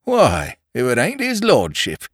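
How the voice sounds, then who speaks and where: A stolid middle-aged police inspector, voiced by a male narrator, coaxing in a plain, lively voice. The sound is close and dry. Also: medium pitch, a little slow, husky, no room sound, voice pushed up, exaggerated voice.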